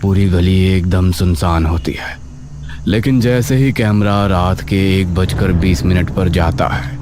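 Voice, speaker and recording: A man narrating a scripted horror cartoon story in a slow, dramatic way. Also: sits low, background noise. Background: background music.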